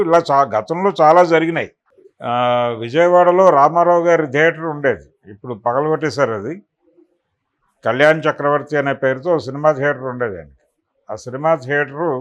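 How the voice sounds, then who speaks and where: A man's voice: a little high, muffled recording. A man giving extended spoken news analysis as a political commentator, explaining and recounting in detail.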